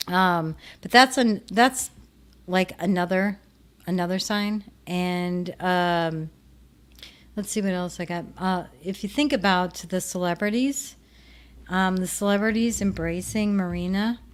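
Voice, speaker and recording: Slow, rambling Minnesotan woman host. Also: fairly low pitch, smooth. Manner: unhurried, rambling, trailing off. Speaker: show host. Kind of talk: casual commentary to viewers.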